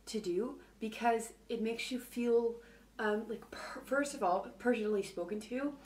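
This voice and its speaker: A woman with a low voice giving casual advice in a video, hesitant and full of fillers. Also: a little slow, darkish tone. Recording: video replayed into a room mic, echoey and distant.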